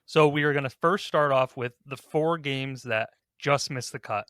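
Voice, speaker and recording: Bright-voiced man hosting a podcast. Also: mid-range voice, moderate pace, no echo, studio quality. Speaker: podcast host. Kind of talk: casual ranking discussion.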